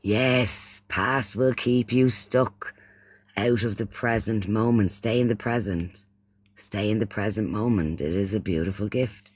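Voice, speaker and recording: A man's voice: on the low side, measured pace. A man from Ireland with an Irish accent hosting a casual livestream chat, often repeating phrases and using pet names for those he addresses. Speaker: livestream host.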